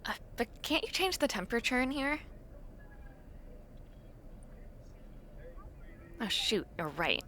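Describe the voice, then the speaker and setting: A woman's voice: on the high side, very animated, brisk, pushed and bright, a little hiss or hum. A woman playing an awkward, imaginative young university dormmate in a casual, intimate audio roleplay, chatting in a hesitant, self-deprecating way. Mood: cosy, friendly.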